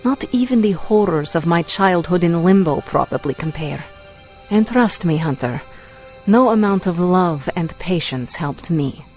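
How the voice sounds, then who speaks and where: A woman playing a jaded, hard-edged young witch in a video game, brooding and sardonic in a deliberate delivery. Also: on the low side, expressive, a little mellow, faint music behind. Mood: bitter, brooding. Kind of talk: dramatic video game acting.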